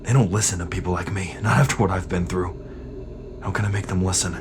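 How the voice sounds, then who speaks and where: A man's voice: deep voice, steady tone, fast talker, music in the background, natural voice. A man playing a weary, defiant sergeant under interrogation in a sci-fi audio drama, blunt and embittered. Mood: frustrated, defiant. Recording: noisy ambient background.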